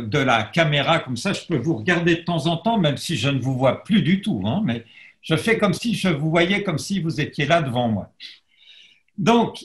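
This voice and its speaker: Slow, hesitant man lecturing. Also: medium pitch, reverberant. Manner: hesitant, frequent pauses and restarts; self-deprecating about his Latin pronunciation. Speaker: historian lecturing. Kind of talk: academic lecture, reading translations. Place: lecture setup facing a camera, roomy.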